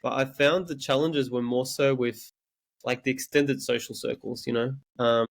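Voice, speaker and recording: A man's voice: mid-range voice, fairly even intonation, normal speed, gravelly. Young Serbian-born man, interview guest, reflective and candid, mid-range voice with some stammered restarts. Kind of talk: reflective personal storytelling.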